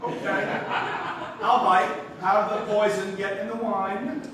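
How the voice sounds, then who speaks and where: An actor playing a flustered, incredulous nephew in a live stage comedy, stammering and exasperated. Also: male voice, high-pitched, little pitch movement, measured pace. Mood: exasperated, alarmed. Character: flustered, incredulous young nephew, theatre-critic type. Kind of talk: stage comedy radio-theatre acting. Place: live theatre, distant microphone. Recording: roomy, noisy stage recording from far off.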